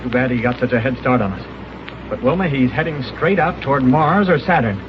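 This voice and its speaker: A muffled, noisy old radio broadcast carries a man acting a confident space hero. He is brisk and authoritative, with an average-pitched voice, in classic 1930s adventure serial style. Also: close to an everyday voice.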